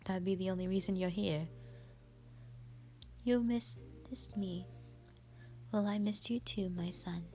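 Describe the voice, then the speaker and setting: A woman playing a strict, commanding mother who leads a warrior band, speaking sternly and deliberately in a roleplay audio drama addressed to the listener. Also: mid-range voice, warm tone, soft background music, natural voice. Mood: measured, maternal. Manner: stern, deliberate, halting delivery with sighs.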